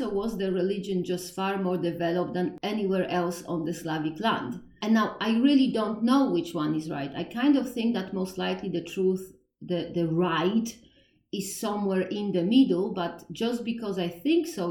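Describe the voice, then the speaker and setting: A woman's voice: mid-range voice, normal speed, a little mellow, roomy sound, recorded from a distance. A woman with a thick Polish accent, speaking English as a second language, explains history informally, thinking aloud, restarting sentences and poking fun at herself. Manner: thinking aloud, self-deprecating, frequent laughs and restarts. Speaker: educator on Slavic history.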